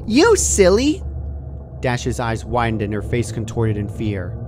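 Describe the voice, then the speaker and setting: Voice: mid-range voice, little pitch movement, moderate pace, noisy background. A narrator reading a scripted horror fan fiction story aloud.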